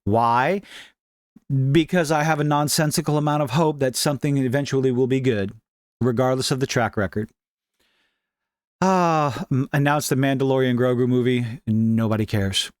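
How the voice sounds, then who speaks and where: A man's voice: medium pitch, moderate pace, smooth, no room sound. Man giving wry, casual commentary, dry close sound. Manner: opinionated, wry, informal. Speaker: commentary show host. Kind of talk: casual commentary, reading and reacting to online posts.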